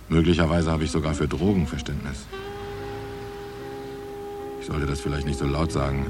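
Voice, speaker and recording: A man acting a middle-aged, seasoned, tolerant New York police detective in a radio play, reflective, plain-spoken and wry. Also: extremely low pitch. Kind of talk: radio play narration and dialogue.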